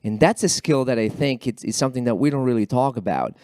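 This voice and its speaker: A man whose first language is Spanish, speaking as an alumni panelist at a college event in a halting, earnest way with many repeated words. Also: mid-range voice, animated, warm tone, no room sound, studio quality. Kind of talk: panel discussion remarks.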